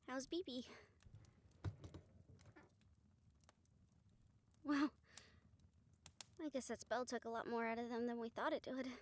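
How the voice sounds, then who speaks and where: A woman playing a weary, apologetic female vampire hunter, speaking softly and hesitantly to a close friend in an audio roleplay. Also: on the high side, natural voice. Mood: gentle, tired. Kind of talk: intimate audio roleplay to the listener.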